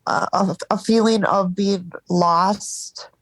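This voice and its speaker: A woman in a live therapy session over a call, speaking haltingly and searching for words, stuffed up from crying earlier. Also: mid-range voice, steady tone, no echo, low-quality recording. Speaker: therapy client.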